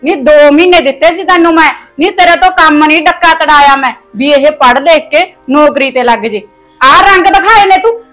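A woman's voice: sits high, a little fast, fairly bright tone, soft background music. A woman playing a harsh, taunting mother-in-law who scolds with sarcasm and folk sayings. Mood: scolding. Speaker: actor in a family skit. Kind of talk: domestic comedy-drama skit.

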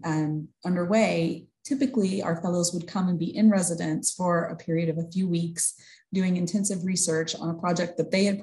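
A woman's voice: lowish voice, darkish tone, low-quality recording. A woman in her thirties hosting a webinar with a semi-formal introduction, speaking over a video call from an office. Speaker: webinar host. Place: small indoor office. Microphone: video call, computer microphone.

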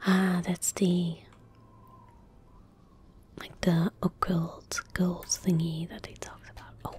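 Whispering young woman, slow and low. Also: flat delivery, slight background noise. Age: young adult. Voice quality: whispery, breathy, soft-spoken. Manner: slow, gentle, hushed. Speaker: ASMR gaming streamer. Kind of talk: ASMR game commentary. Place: indoor room at a desk. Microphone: close desk microphone. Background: keyboard typing and mouse clicks.

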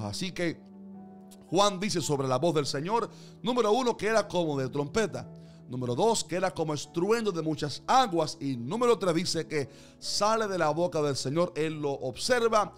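Slow, emphatic male preacher over music. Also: on the high side. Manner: emphatic, repetitive phrasing in building lists. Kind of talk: sermon, expository Bible preaching.

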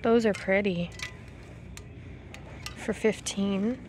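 A woman's voice: medium pitch, fairly even intonation, darkish tone. A woman in her thirties giving casual item-by-item vlog commentary while shopping, filmed on a handheld camera. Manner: unhurried, item-by-item commentary with pauses. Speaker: vlogger. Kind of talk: casual shop-with-me vlog narration. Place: retail store aisles, some in a parked car. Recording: clear background store noise and ambience.

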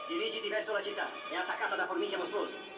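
A stern fatherly commander played by a man in an old anime dub, grave and measured. His fairly high voice sounds echoey and distant, with music behind it. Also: fairly even intonation, close to an everyday voice. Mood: grave, commanding. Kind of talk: dubbed 1970s anime acting.

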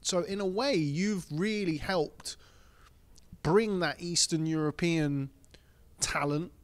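A man's voice: a little high, slow pace, no echo. Male interviewer with a dry close sound. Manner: long, rambling questions. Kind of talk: interview questions.

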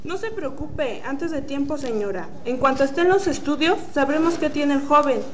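Woman as hospital doctor, calm, formal, high voice, amateur radio drama. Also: normal speed, slight background noise. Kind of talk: student radio drama acting.

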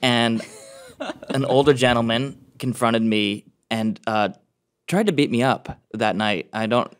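A man's voice: mid-range voice, measured pace, clean tone. A man in his thirties, a guest on a podcast, telling stories casually with self-deprecating laughter. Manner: self-deprecating, giggly storyteller. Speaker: field producer, podcast guest. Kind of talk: casual chat. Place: small podcast studio. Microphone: large studio mic on a stand.

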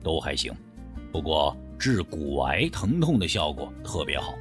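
A man voicing an older medicine teacher in an audiobook, measured and reflective, speaking in an understated, reluctant way. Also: a bass voice, very animated, soft background music, pitched below the performer's own voice, exaggerated voice. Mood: matter-of-fact.

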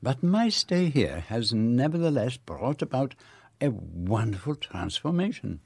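A man's voice: mid-range voice, sing-song, unhurried, creaky, darkish tone, slightly rough, exaggerated voice. A man playing a precise, formal Belgian detective in a radio play. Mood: wry. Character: fussy Belgian detective, middle-aged man. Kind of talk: radio drama acting.

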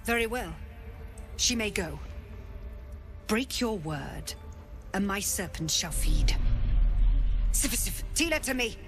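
Slow, menacing druid leader, music behind. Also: female voice, mid-range voice, lively intonation. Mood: cold command. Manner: slow, commanding, threatening. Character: cold, menacing druid woman leader, harsh and imperious. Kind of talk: video game voice acting.

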